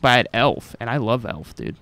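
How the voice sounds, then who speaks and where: A man's voice: medium pitch, expressive, fairly quick, bright, forceful tone, smooth. A young adult man hosting a gaming video, chatting casually over gameplay in slangy, informal language with some swearing.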